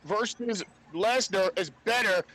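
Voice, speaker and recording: High-voiced man debating on a podcast. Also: unhurried, fairly bright tone, low-quality recording. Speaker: wrestling podcast panelist. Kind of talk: casual debate.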